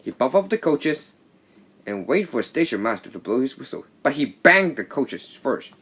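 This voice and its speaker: Male read-along narrator for a children's storybook; animated pitch swings, mid-range voice, stumbling over the text. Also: breathy edge. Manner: halting, stumbling reading with slips. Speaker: storybook reader.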